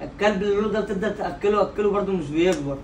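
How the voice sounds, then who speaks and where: A man's voice: high-pitched, reverberant, recorded from a distance, a little hiss or hum, lightly acted. A man with an Egyptian accent playing a naive, clumsy young man who mumbles and mangles his English words. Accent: Egyptian Arabic. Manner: slow, mumbling, mispronounces words. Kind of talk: comedy sketch.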